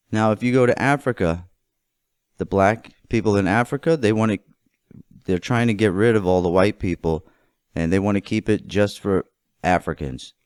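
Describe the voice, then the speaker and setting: A man with a fairly low, clear and smooth voice speaks as a political commentator in an opinionated monologue. He sets out his phrases deliberately and with emphasis. The sound is dry and close, with very little room in it. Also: a little mellow, dry recording. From United States.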